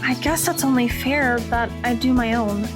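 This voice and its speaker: A woman playing a modest, easygoing high school girl, plain and understated, in an audio drama. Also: normal speed, over music, close to an everyday voice. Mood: casual, calm.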